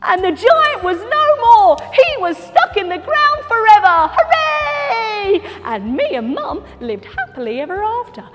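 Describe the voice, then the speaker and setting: An excitable little boy puppet, performed by a woman, tells his adventure in a very high, swooping voice. The delivery is dramatic and drawn out, ringing through a big reverberant hall. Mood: triumphant. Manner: exclamatory, dramatic, drawn-out storytelling with sound effects. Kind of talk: children's puppet storytelling. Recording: echoey hall with music.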